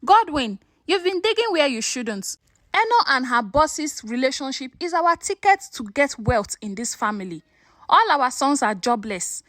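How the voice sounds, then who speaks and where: A woman storyteller playing a stern, cold elderly patriarch with a deliberate, threatening manner. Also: high-pitched, very animated, fairly bright tone, no room sound, clean studio sound. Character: cold, menacing elderly patriarch guarding family interests.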